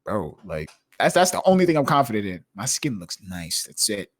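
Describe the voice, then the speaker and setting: A young man in his late twenties tosses off jokes and slang in a loose panel chat. His voice is husky and leaps all over the place in pitch, constantly tagging "bro" onto his lines. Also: very animated, normal speed. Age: 20s. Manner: slangy, joking, says "bro" a lot. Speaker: streamer on a podcast panel. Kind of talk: casual group chat.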